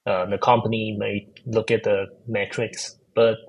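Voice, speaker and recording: Man, chinese accent, husky low voice, halting with fillers, interview guest. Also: little pitch movement, muffled recording. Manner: hesitant, many fillers and restarts. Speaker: guest, AI researcher. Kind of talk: casual technical interview.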